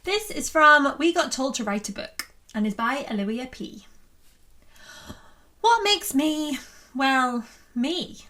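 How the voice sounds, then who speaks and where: A woman's voice: sits high, lively intonation, slow pace, bright, forceful tone, slight background noise. A woman reading a first-person school essay aloud as a scripted narration. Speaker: reader at a book launch.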